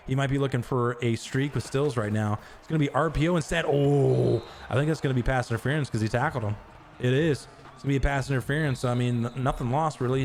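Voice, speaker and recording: A man doing live play-by-play commentary on a video game stream, getting loud on big plays and chatting with viewers between them. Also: a little hiss or hum. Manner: excitable, jumps to high shouts on big plays.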